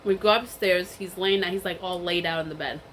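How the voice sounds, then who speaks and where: A woman's voice: lowish voice, steady tone, brisk, a bit bright, slight background noise, rough recording. Stuffy woman telling a rambling story. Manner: chatty and rambling, many fillers and restarts. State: stuffy from a cold. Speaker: vlogger. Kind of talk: casual storytime while eating.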